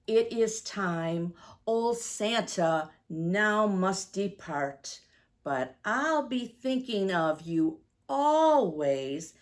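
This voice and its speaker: A woman voicing a humble, devout old Santa in a storybook, praying in a reverent, pleading manner. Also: mid-range voice. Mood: tender farewell.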